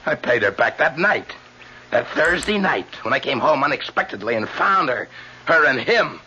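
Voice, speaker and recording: An actor playing a tormented, self-pitying killer in an old-time radio mystery, repeating phrases insistently. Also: male voice, medium pitch, sing-song, moderate pace, pushed and bright. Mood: bitter rage. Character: tormented serial killer lodger, self-pitying, bitter and unhinged. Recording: dull lo-fi radio recording with hiss.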